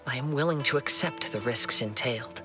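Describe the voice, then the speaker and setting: Guy voicing young samurai wanderer in a game, calm n principled, kinda formal, music behind him. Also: medium pitch, lightly acted. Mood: calm, earnest. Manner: measured, earnest, composed, formal. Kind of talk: dramatic video game dialogue.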